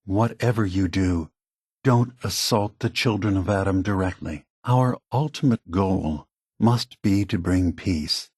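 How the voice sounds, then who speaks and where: An actor playing a calm, grave synthetic man, a weary scheming leader, with a husky, measured, deliberate delivery for a video game. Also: sits low, no echo, studio quality. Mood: earnest warning.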